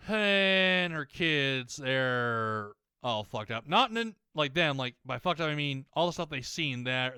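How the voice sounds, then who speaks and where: A young man ranting while playing a game, rambling, swearing a lot and often restarting his sentences, his voice slightly hoarse as it warms up. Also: medium pitch, dry recording, studio quality. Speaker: gaming YouTuber.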